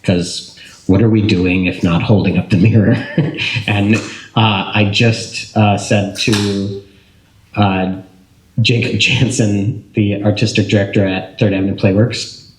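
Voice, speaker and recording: A man's voice: on the low side, steady tone, measured pace, slight background noise. A man speaking as an actor on a panel, thoughtful and searching for his words, with light laughs. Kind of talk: reflective panel talk. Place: public panel event room. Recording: roomy echo.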